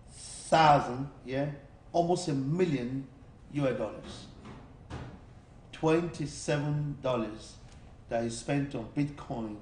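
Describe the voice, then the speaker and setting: A man's voice: mid-range voice, darkish tone, distant mic. A man makes his case as a studio guest, hammering his points home by repeating them. His voice bounces around an echoey studio. A faint hiss sits under everything. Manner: insistent, repeats phrases for emphasis. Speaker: studio guest, cryptocurrency speaker. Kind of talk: persuasive explanation.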